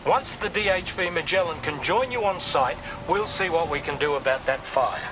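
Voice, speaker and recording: A man's voice: fairly high pitch, lively intonation, normal speed, background noise, close to an everyday voice. A man playing an urgent, practical mission dispatcher with colloquial talk in a video game. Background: music.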